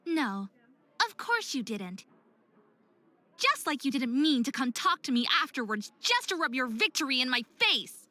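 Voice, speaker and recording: Bright, high-voiced, hot-headed young faerie. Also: female voice, sing-song, a little slow, no echo, heavily acted. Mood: furious. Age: young adult. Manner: indignant, emphatic, dramatic delivery. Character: hot-headed, distraught young faerie woman, a competitive skater. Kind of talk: scripted visual novel game acting.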